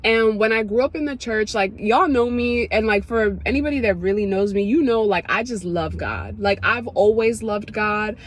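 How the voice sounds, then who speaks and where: Young woman, from Ethiopia, casual vlog talk, emotional, excitable, many fillers. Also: mid-range voice, moderate pace, hardly any pauses. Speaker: vlogger. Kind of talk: casual testimony to camera.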